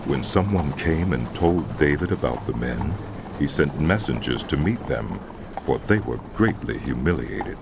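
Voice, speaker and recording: A man narrating a dramatized scripture audiobook in a solemn, deliberate storytelling style. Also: very deep voice, lively intonation, noisy background. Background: music.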